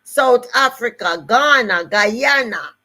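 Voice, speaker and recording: An older woman with a Jamaican accent speaks slowly and deliberately in a motivational talk. She stresses and repeats her main phrases. Her voice sits a little high. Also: animated, pushed and bright. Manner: emphatic, repeats key phrases. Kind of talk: motivational live-stream talk.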